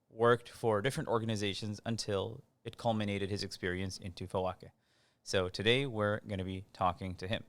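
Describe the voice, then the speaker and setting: A man's voice: fairly low pitch, fairly bright tone, dry recording. A man hosting an interview series, introducing the guest and asking friendly questions.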